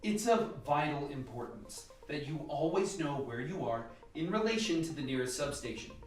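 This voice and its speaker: A man acting in an amateur radio play as a calm, instructive scientist briefing a lab team with measured delivery. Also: on the high side. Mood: matter-of-fact briefing. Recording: echoey room, distant mic, faint music.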